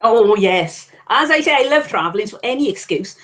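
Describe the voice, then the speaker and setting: A woman's voice: a little high, animated, moderate pace. A woman with a Welsh accent from South Wales, a guest reseller on a live stream, chatty and candid with wry asides. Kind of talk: casual live-stream chat.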